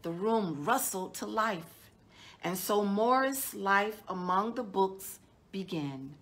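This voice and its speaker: A middle-aged woman, a children's storytime host, reading a picture book aloud in a measured, deliberate way with drawn-out words. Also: mid-range voice, lively intonation, a bit bright. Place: indoors, small decorated room.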